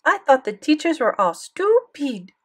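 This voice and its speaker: A woman's voice: high voice, sing-song, measured pace, pitched above the performer's own voice. Woman putting on a bossy old French grandmother. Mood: curious. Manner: inquisitive, imperious. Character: elderly French grandmother, doting but commanding.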